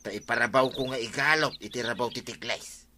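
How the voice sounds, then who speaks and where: A man playing a scheming, easygoing village husband in a comedic radio drama, muttering colloquially with drawn-out interjections. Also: mid-range voice, noisy background, natural voice. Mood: sly muttering.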